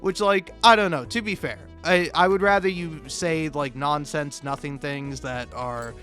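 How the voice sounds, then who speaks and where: A man's voice: on the high side, a little slow, a bit bright. A man in a rambling, comedic off-the-cuff monologue, stammering and restarting words, sweary and self-deprecating. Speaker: video maker. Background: background music.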